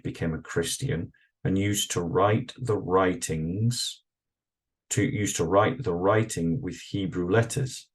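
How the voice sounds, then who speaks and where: A man's voice: sits low, a little mellow. A man reading passages aloud and commenting on them in a thoughtful, hesitant way, with frequent fillers and restarts. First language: English. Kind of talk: reflective solo commentary with read-aloud passages.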